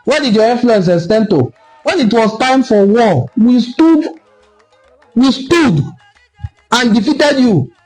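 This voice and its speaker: Forceful high-voiced Nigerian man lecturing. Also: animated, slow pace, soft background music, poor audio. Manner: forceful, lecturing, repeats for emphasis. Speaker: online cultural commentator. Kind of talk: impassioned solo commentary video.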